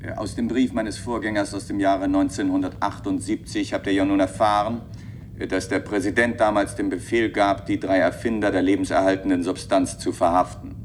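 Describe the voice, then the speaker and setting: A man's voice: sits low, flat delivery, a little fast, a little hiss or hum, lightly acted. An actor playing a formal, methodical chairman in a German radio play, explaining things in an official, slightly hesitant way. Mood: formal, explanatory. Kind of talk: German radio play acting, addressing listeners.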